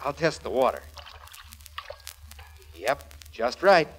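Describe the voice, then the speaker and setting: A man's voice: mid-range voice, big pitch swings, slow, fairly bright tone. Friendly eager bachelor, male, folksy, boyishly cheerful, swooping intonation, noisy 1940s radio comedy recording. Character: friendly, eager bachelor who is handy with babies.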